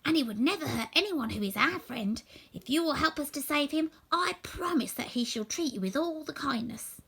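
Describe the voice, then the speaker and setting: Woman as an earnest, animated straw scarecrow. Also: high voice, moderate pace, pitched above the performer's own voice, poor audio. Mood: reassuring. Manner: earnest, persuasive, organising. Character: helpful, persuasive scarecrow with straw-stuffed head. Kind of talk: storybook character voice.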